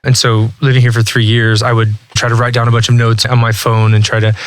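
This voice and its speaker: A man's voice: medium pitch, fairly quick. A man in his thirties from Texas, a podcast guest talking casually and thoughtfully, often restarting his sentences. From Texas, USA. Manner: relaxed, reflective, many restarts and "like". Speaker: guest, language learning entrepreneur. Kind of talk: casual interview talk.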